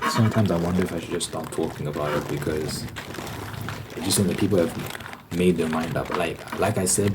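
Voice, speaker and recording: A man hosting an ASMR video, rambling casually in an informal monologue and repeating phrases for emphasis. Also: low voice. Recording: clear typing and crinkling noise.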